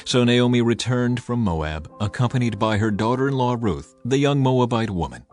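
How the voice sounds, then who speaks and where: A man's voice: medium pitch, expressive, moderate pace, a little mellow, faint music behind. A man giving a scripted, dramatic narration of a scripture audiobook.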